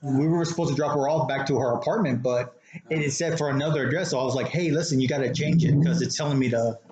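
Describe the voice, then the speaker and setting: A man's voice: mid-range voice, fast talker, darkish tone, highs cut off. An American man telling casual anecdotes as a co-host in a conversational, somewhat hesitant manner. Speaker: podcast co-host telling stories. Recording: roomy echo with background noise.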